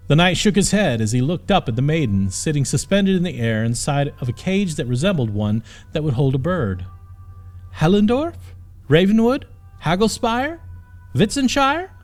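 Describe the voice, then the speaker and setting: A man reading a scripted story as an audiobook narrator, with expressive storytelling delivery. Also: medium pitch.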